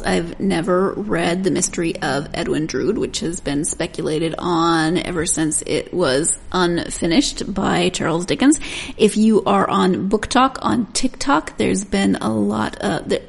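A woman's voice: on the low side, measured pace, gravelly, slightly rough. A woman hosting a book podcast in a conversational style. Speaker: podcast host.

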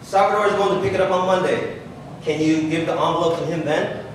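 In a very reverberant hall, a young actor as an anxious, patient son explains himself earnestly. His voice is on the high side, picked up from a distance in a staged reading. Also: brisk, close to an everyday voice. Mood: insistent. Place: large glass-walled public hall, on stage. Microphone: handheld. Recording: echoey hall, distant stage microphone, faint noise.

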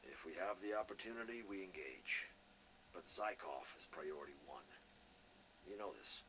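Man playing a deep-voiced, steely officer. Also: moderate pace, close to an everyday voice. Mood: confident. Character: steely, confident Russian special operations officer. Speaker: special operations officer. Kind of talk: dramatic game voice acting. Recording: clear background noise and rumbling ambience.

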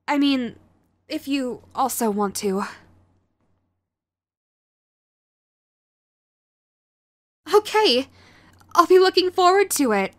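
Woman acting a high-pitched, clingy yandere girlfriend. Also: big pitch swings, fairly bright tone, dry recording, exaggerated voice. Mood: affectionate. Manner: hesitant, pleading, halting. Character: sweet, clingy, remorseful yandere girlfriend in recovery. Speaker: voice actor. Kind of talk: audio roleplay, intimate addressed to listener.

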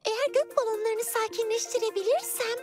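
A woman voicing a brave, kind-hearted young girl heroine in a children's cartoon dub, earnest and expressive. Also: very high voice, brisk. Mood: thoughtful. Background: children's cartoon music.

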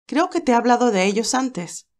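A woman voicing a cheerful but anxious young nurse who talks in a lively, hesitant way. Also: a little high, moderate pace, voice pushed up. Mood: worried.

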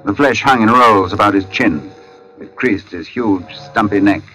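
Low-voiced haunted artist, radio suspense. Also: male voice, sharp tone, faint music behind, poor audio. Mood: grave, wondering. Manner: deliberate, halting, dramatic pauses, formal diction. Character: brooding forty-year-old male artist, haunted, precise, foreboding. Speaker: radio actor narrating in character. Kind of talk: old-time radio suspense drama, first-person monologue. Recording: vintage radio broadcast recording.

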